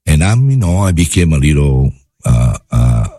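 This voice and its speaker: A man from Italy with an Italian accent, a guest chef talking in a studio interview, halting with many fillers and restarts. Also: rumbling low, very animated, dark tone, no echo. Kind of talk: interview conversation.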